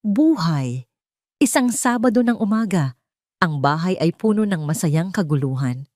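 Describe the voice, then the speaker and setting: Casting: Filipino female storyteller for scripted romance drama, lower register, close dry sound. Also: expressive, measured pace, darkish tone, dry recording. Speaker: story narrator.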